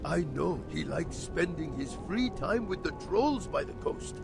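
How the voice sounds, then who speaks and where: A man playing a fretful old orc father in a fantasy game, with a creaky, pleading voice. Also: fairly high pitch, animated, measured pace, music in the background. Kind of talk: video game character dialogue.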